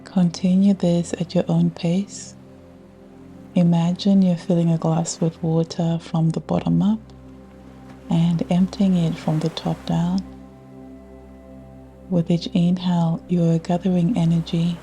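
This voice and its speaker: A woman guiding a scripted yoga nidra meditation in a soothing, measured way with long pauses. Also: on the low side, little pitch movement, mellow. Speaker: meditation guide. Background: ambient music with ocean sounds.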